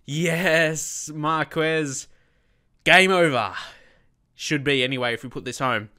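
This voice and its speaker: Let's-play host, man with a head cold, rambling then excited, bright smooth tone. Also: on the high side, slow pace. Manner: chatty, many fillers, bursts of excitement at goals. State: blocked nose from a cold. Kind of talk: casual gameplay commentary.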